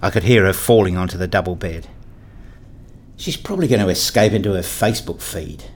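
A man playing a wistful, fussy married father, narrating a radio play in the first person in a measured, reflective way. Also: low voice. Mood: resigned.

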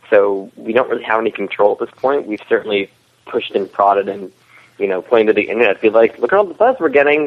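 A man talking casually as an interview guest, a web series creator explaining his work. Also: mid-range voice. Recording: tinny phone-like line.

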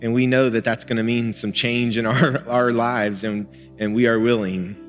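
A man's voice: medium pitch, little pitch movement, normal speed. A male pastor, preaching in a conversational, earnest way with small laughs. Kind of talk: sermon and prayer. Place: church sanctuary. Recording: music and long hall reverb.

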